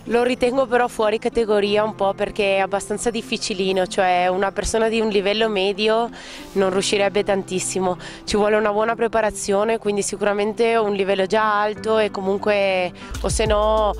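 A woman's voice: mid-range voice, little pitch movement, moderate pace, soft background music. A woman ski coach reviewing skis outdoors on a slope with a handheld mic. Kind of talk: product review.

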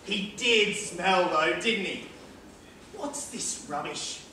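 Casting: male actor as needling, grumpy old man for stage comedy-drama; bright, slow, swooping delivery. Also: high voice, lively intonation, full character voice. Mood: mocking, blunt. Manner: sardonic, blunt, needling. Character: cantankerous, foul-mouthed, mocking old man in a care home. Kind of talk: amateur stage play acting. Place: theatre stage, indoors. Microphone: distant camera microphone. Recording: echoey theatre stage from far off, faint noise.

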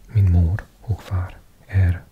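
A man from Wales whispering slowly and gently as he reads from a language textbook, correcting himself as he goes. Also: low voice, level tone, phone-quality sound, background noise. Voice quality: soft unvoiced whisper. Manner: slow, gentle, self-correcting learner. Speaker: ASMR creator. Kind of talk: whispered ASMR language reading. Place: indoors at a table.

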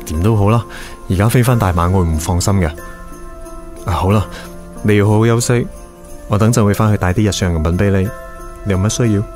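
A man's voice: lowish voice, brisk, pauses often, darkish tone, faint music behind, natural voice. An actor playing a caring, reassuring young male team leader in a radio drama. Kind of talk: Cantonese radio drama acting.

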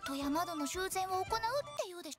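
A woman voicing a playful, pushy teenage girl funeral director in a Japanese anime game, with animated sales patter. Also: extremely high pitch, quick pace. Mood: eager, persuasive. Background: game music.

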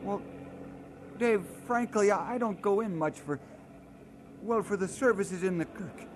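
A man's voice: high voice, a little slow, noisy background. An actor playing an earnest, modest young Scottish man with a hesitant, sincere manner in an old radio drama. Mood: friendly, reserved.

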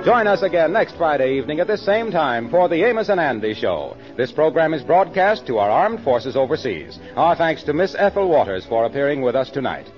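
A man's voice: a little high, very animated, brisk, hardly any pauses. A male American announcer reading a formal, dramatic script in 1940s radio style. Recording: muffled 1940s radio with music.

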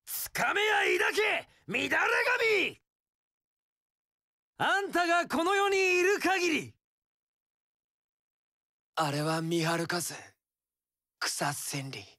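An actor playing a carefree, romantic young wandering warrior with a tender streak in an action game, theatrical, breezy and poetic. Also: male voice, extremely high pitch, sing-song, drawn-out words, long pauses, sharp tone, dry recording, clean studio sound, exaggerated voice. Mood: wistful. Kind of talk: Japanese action game voice acting.

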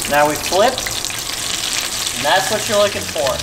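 A man's voice: high-pitched, expressive, slow pace, reverberant, very noisy. A young man hosting his own fishing and cooking video, explaining each step of rigging and cooking in a casual, instructive way. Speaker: fishing channel host. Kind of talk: casual how-to narration. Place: home kitchen and boat deck.

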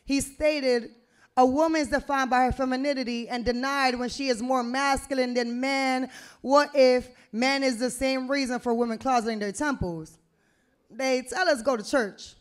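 A young woman performing spoken word poetry into a stage mic, with deliberate, emphatic, rhythmic phrasing. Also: high-pitched, fairly even intonation. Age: young adult. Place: small indoor venue stage with brick wall. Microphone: handheld-type vocal mic on a stand, close to the mouth.

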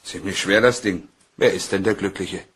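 An easygoing office colleague who is smitten and likes to tease, in a crime radio play. His voice is low and husky, his manner chummy. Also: normal speed, fairly bright tone. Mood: suspicious, hurt. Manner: teasing, chummy.

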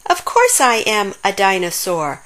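A woman's voice: mid-range voice, huge pitch swings, measured pace, fairly bright tone. A woman voicing a feisty, indignant little pterodactyl girl who protests, in a children's storybook.

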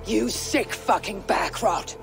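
An actor playing a grim, blunt Viking raider in a game cutscene. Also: male voice, high voice, gravelly, music in the background, full character voice. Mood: angry. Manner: blunt, measured. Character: stern male Viking raider, grim and steady. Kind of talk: video game cutscene acting.

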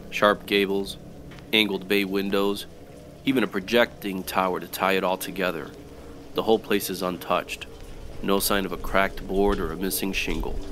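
A man playing a gruff, wry, world-weary supernatural private detective, narrating in old-time noir radio style. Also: sits low, background noise, natural voice. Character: hard-boiled, wisecracking male supernatural private detective.

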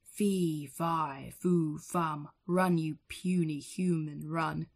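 A woman's voice: steady tone, drawn-out words, exaggerated voice. A woman voicing a huge, menacing male giant who bellows threats in a storybook reading. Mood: menacing roar. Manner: booming, shouted threats.

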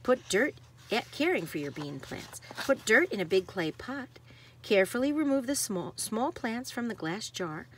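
A woman's voice: on the high side, sing-song, moderate pace, fairly bright tone, slight background noise. A woman reading a nonfiction picture book aloud to children like a teacher, stressing key words.

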